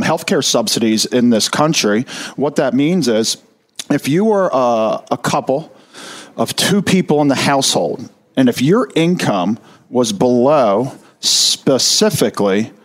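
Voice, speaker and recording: A middle-aged man, a retirement financial advisor, explaining to listeners with energy and slowing down to stress key figures. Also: medium pitch.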